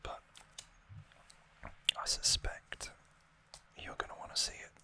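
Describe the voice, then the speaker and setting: An ASMR host whispering softly and carefully through an unboxing, with gentle pauses.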